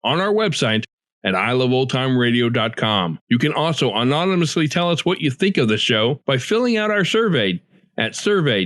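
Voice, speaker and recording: A man's voice: on the high side, a bit bright, no room sound, studio quality. A man hosting a podcast, reading a scripted introduction to the show. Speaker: podcast host.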